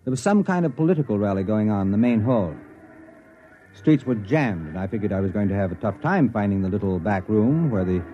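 A man's voice: lowish voice, lively intonation, fairly quick, lightly acted. An actor playing a sardonic, impatient university administrator, telling his story with dry wit in classic radio drama style. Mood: wry narrating. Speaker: narrator and lead actor. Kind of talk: classic radio drama acting and first-person narration. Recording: muffled vintage radio recording with music.

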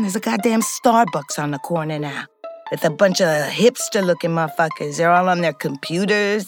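A woman's voice: mid-range voice, lightly acted. An actress playing a foul-mouthed, middle-aged ex-convict, telling her story in a confiding, conversational way. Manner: streetwise, blunt, confiding. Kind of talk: scripted first-person narration, conversational.

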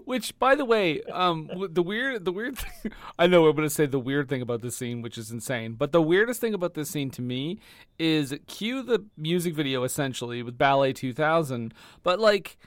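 Animated co-host, joking interjections, dead-dry close mic. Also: mid-range voice, no room sound. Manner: lively, quick to joke and interject. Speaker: podcast co-host. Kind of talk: casual chat about a film.

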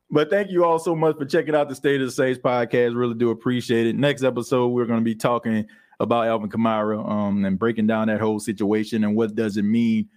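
A young man chats loosely as a podcast host, reacting to comments. He laughs often and breaks into lively impressions of churchgoers. His voice sits in an ordinary middle pitch. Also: brisk. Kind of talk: casual chat reading live comments.

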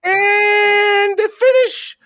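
An odd little Boston boy, played by a woman, speaks in a piercingly high, bright voice. He makes childish, simple statements with a straight-faced silliness, in an improvised comedy sketch with a dry, close sound. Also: steady tone, drawn-out words, no echo, exaggerated voice. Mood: excited, earnest. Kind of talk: improvised comedy character interview.

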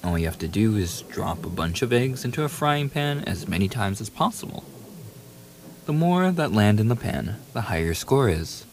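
A man's voice: on the low side, moderate pace. A man playing a famous young pop idol boyfriend in an ASMR audio roleplay, playful, affectionate and eager, teasing the listener in a casual, intimate way. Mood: gentle, teasing. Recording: steady hiss behind the voice.

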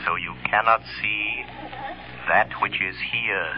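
An actor playing an invisible, calm and commanding male avenger in a 1930s radio drama. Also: over music. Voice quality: slow, deep, measured. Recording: muffled 1930s radio recording.

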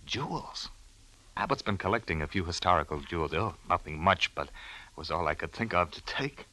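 An actor in a 1940s-style radio crime drama playing a guilt-ridden, desperate husband, agitated and halting. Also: male voice, sits low, lively intonation, sharp tone, heavily acted, rough recording. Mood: nervous, defensive. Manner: agitated, stammering, halting delivery. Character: panicked, guilt-ridden husband who has just killed his employer.

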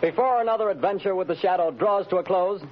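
A man's voice: sits high, fast talker, faint music behind. A man announcing in a formal, declamatory old-time radio style on a muffled vintage recording. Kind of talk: scripted 1930s-40s radio announcing.

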